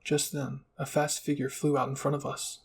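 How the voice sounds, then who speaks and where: A man's voice: mid-range voice, monotone, normal speed, vocal fry, slight background noise. A man narrating a scripted first-person roleplay story.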